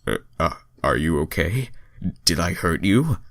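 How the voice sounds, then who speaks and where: A man's voice: deep voice, lively intonation, a little slow, gravelly, fairly bright tone, no echo, studio quality, heavily acted. A man voicing a confident, suave male hedgehog hero in a fan fiction reading. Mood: attentive.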